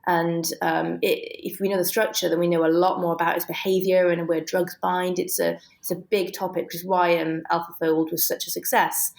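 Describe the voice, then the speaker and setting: A woman's voice: on the low side, little pitch movement, moderate pace, creaky, few pauses. A woman with a British accent explaining technical detail conversationally and with enthusiasm, as an interview guest. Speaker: guest, scientist and author.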